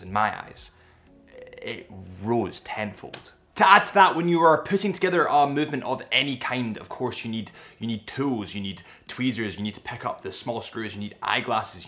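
Young Scottish male presenter, animated, eager delivery, mid-pitched, small indoor studio. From Scotland. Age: young adult. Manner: animated, gestures with his hands. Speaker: watch retailer presenter. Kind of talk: enthusiastic watch vlog narration.

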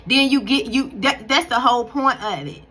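A woman's voice: high voice, animated, moderate pace, bright, forceful tone, breathy edge. A woman in her thirties giving casual devotional encouragement to her viewers from home, talking earnestly in a preachy, conversational way full of restarts. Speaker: faith vlogger. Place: bright room at home, indoors. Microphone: phone camera at close range.

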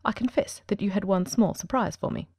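Lady doing cool brainy detective man, super quick, soft-edged tone, formal audiobook. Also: mid-range voice, dry recording, studio quality, close to an everyday voice. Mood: assured, dry. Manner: crisp, formal, commanding. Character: coolly self-assured, precise male detective genius. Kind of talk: dramatic audiobook reading.

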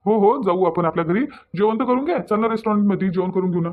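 A man's voice: high-pitched, fast talker, lightly acted. A man voicing a mild, evasive young husband in a Marathi cartoon, soothing and repeating himself in Vidarbha dialect, on narrow muffled audio. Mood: placating, defensive. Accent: Vidarbha (Varhadi) Marathi. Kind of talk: Marathi cartoon story dialogue.

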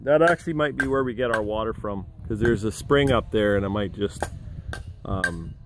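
A man's voice: on the low side, normal speed, noisy background. A middle-aged man talks casually through a tour of his timber house frame outdoors, recorded on a handheld camera and rambling with many fillers. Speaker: vlogger touring his house build. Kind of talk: casual walkthrough narration.

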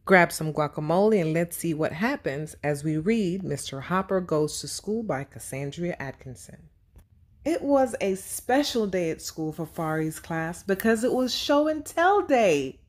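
A woman teaching young children and reading a storybook aloud, speaking simply and deliberately and putting questions to her listeners. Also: fairly low pitch, sing-song.

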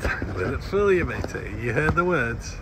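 A man's voice: fairly high pitch, moderate pace. A man hosting a sailing vlog, chatting casually and calling out encouragement to a fisherman on deck. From Australia. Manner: excitable, calls out encouragement. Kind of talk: casual onboard chat and coaching. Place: open sailboat deck at sea. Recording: wind and boat noise.

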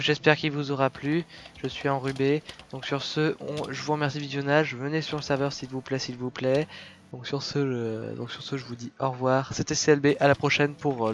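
A man with a head cold hosts a game tour, sounding tired and stuffy. He talks casually, with pauses and plenty of fillers, into a desk mic on a voice call. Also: medium pitch. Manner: laid-back, hesitant with many fillers. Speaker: host of a Minecraft server series. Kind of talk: casual gaming commentary.

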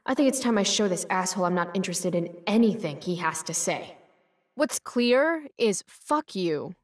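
A woman's voice: lowish voice, expressive, moderate pace, dry recording, clean studio sound. A young woman playing a rebellious, sarcastic teenage girl in a video game, with an American accent and a sardonic, defiant delivery. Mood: mocking defiance. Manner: sardonic, defiant, foul-mouthed.

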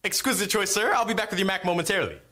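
A man's voice: high-pitched, rapid-fire, bright, forceful tone. A young man playing a smug, scamming upscale waiter in a comedy sketch, with polished, pompous sales patter. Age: young adult.

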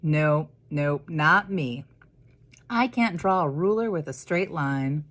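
Lady reading as a shy little storybook boy, earnest, unsure of himself, slow talker. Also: low voice, lively intonation, fairly bright tone, heavily acted. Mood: bashful. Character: shy, admiring little boy lacking confidence. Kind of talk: picture-book character reading.

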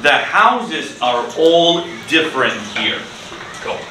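A middle-aged man teaching English to children, speaking deliberately in simple phrases and repeated questions. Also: on the high side, sing-song. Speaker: ESL teacher. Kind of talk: interactive classroom teaching to children. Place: school classroom, indoors. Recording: roomy, distant classroom recording with clear noise.

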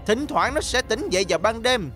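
An older male cartoon mastermind with a very high, swooping voice. He is grandiose, scheming and gleefully wicked. Music plays clearly behind him. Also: animated, a little fast, sharp tone, full character voice. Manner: theatrical, grandiose. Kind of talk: dubbed children's cartoon acting.

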